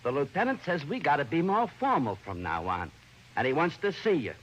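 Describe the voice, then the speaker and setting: An actor playing a dim-witted, blustering police sergeant, in 1940s radio comedy-detective style. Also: male voice, fairly high pitch, big pitch swings, fairly bright tone, lo-fi sound. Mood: gruff, blustering. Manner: slow-witted, blustering, ungrammatical. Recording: noisy old-time radio recording.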